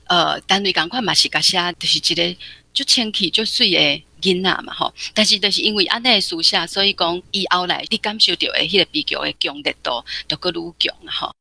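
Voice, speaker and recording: A radio guest from Taiwan reflecting on a novel, speaking thoughtfully with frequent pauses. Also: mid-range voice, vocal fry, sharp tone, dry recording. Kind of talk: literary commentary.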